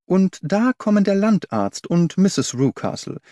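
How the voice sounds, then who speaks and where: Shrewd gentleman detective, acted by a male narrator, storybook role, courteous, precise reasoning, dry close sound. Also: high-pitched, very animated, unhurried, no echo, voice pushed up. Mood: courteous, decisive. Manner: formal, analytical, courteous.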